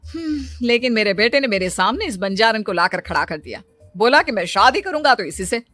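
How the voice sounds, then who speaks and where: A woman's voice: a little high, expressive, a little fast, a bit bright, soft background music. A woman playing a stern, scheming middle-aged mother-in-law who commands and threatens in a cartoon story. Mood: disgruntled. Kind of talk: Hindi cartoon story acting.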